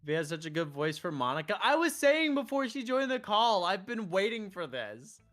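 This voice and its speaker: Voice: mid-range voice, sing-song, normal speed, fairly bright tone. A streamer of androgynous voice playing a visual novel, casually bantering with wisecracks and swearing between lines read aloud. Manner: wisecracking, profane. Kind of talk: casual gameplay banter mixed with reading dialogue aloud. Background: game music.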